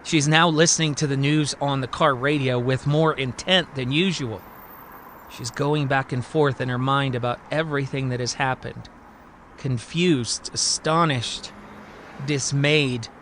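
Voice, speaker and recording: A man's voice: mid-range voice, fairly even intonation, unhurried, a bit bright, a little hiss or hum. A man telling a scripted story as a podcast storyteller, with a theatrical, emphatic and suspenseful delivery. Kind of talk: dramatic scripted story narration.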